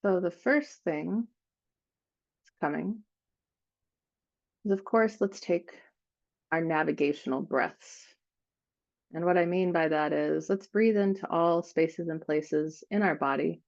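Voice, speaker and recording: A woman leading an improvised guided meditation, speaking in gentle, unhurried phrases with frequent pauses. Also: lowish voice, gravelly, lo-fi sound.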